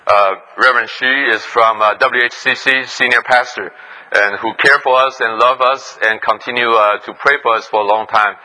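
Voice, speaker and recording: A man's voice: mid-range voice, fairly even intonation, pushed and bright, rough recording. A man who introduces the guest pastor, then interprets the sermon phrase by phrase. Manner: rapid interpreting after each phrase. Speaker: church host and interpreter.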